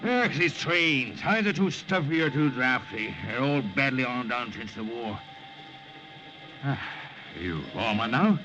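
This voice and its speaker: Swooping, jovial captain. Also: male voice, mid-range voice, lively intonation, measured pace, bright, forceful tone. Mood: insistent, chuckling. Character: jovial, insistent, tipsy German army captain. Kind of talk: 1950s radio drama acting. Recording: dull, noisy vintage radio recording.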